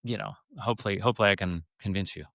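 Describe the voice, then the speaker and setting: A middle-aged man answering as an interview guest in a conversational, rambling way, often halting and repeating words. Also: fairly low pitch, creaky, fairly bright tone, no room sound, clean studio sound.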